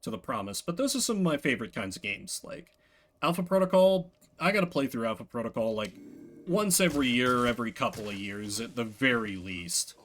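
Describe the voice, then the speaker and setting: Animated male game streamer, bright lively voice. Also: medium pitch, fairly quick, a little hiss or hum. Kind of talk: casual chat while playing a game. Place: indoors at a desk.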